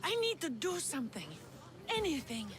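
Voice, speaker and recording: Lovesick game maiden: very high, swooping voice, naive, earnest, desperate. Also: female voice, big pitch swings, moderate pace, a crowd in the background. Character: lovesick, desperate young woman, naive and pleading. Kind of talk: dramatic video game acting.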